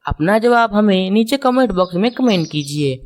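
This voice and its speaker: Medium-pitched woman narrating children's puzzle stories. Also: animated, normal speed, darkish tone, a little hiss or hum. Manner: explanatory, addresses viewers directly.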